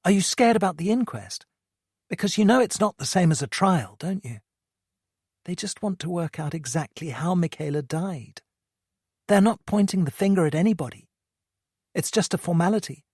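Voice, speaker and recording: Brief: worried mum, hushed and pleading, gentle coaxing tone, performed by the audiobook reader. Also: female voice, on the low side, lively intonation, moderate pace, breathy edge, no echo, clean studio sound, voice pushed up. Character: worried, grieving mother, soft and pleading.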